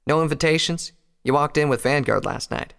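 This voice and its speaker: A man's voice: a little high, expressive, a little fast, gravelly, a bit bright, pitched above the performer's own voice. A man voicing a plain-spoken, sober female country pony soldier with a blunt, folksy manner. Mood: curious.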